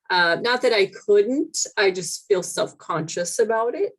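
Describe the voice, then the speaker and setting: A woman guest on a podcast, a teacher and comedian, talking casually and thoughtfully with many fillers and restarts. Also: fairly low pitch. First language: English. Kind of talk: casual interview chat.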